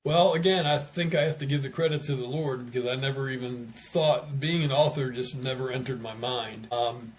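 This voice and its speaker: A man's voice: mid-range voice, steady tone, normal speed, hardly any pauses, slight background noise, rough recording. An older American man speaking conversationally as an interview guest, an author and retired teacher. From United States.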